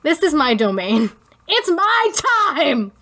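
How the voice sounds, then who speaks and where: A woman co-hosting a podcast in casual banter, talking in a giggly, expressive, rambling way. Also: high voice, slow pace, slight background noise. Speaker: podcast co-host.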